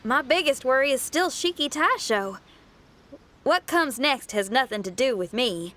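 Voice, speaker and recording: A woman's voice: high-pitched, animated, a little slow, fairly bright tone. A woman voicing a bold, spirited young female street musician in a game, casual and direct in how she talks. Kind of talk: video game cutscene dialogue.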